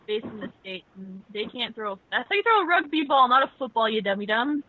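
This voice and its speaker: High-voiced woman on a phone-like line. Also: expressive, brisk, no room sound. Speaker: movie commentary host. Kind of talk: casual riffing commentary with friends. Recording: phone-like internet call.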